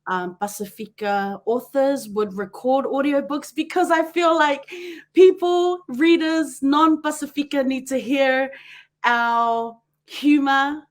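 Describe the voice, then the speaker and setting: A woman with a New Zealand accent hosting a podcast, chatty and quick to laugh, often wandering off on tangents. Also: high voice, fairly even intonation. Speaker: podcast host. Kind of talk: casual chat.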